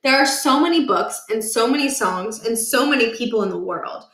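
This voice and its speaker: Higher-voiced woman storyteller in an echoey room. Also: expressive, moderate pace, fairly bright tone. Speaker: storyteller reading aloud. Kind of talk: expressive children's book narration.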